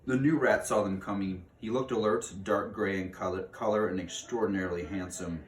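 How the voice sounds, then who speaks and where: A man with a fairly low voice reads a children's novel as narrator. He occasionally stumbles and rereads. The room is echoey and he sounds a bit away from the mic. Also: fairly even intonation, fairly quick, distant mic. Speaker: read-aloud narrator. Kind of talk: reading a children's novel aloud.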